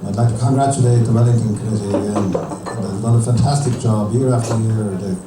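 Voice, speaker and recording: A man's voice: on the low side, steady tone, brisk, loud music behind the voice. An Irish man giving an informal thank-you speech at a function in a hotel hall. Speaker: event speaker. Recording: echoey noisy hall, distant camcorder.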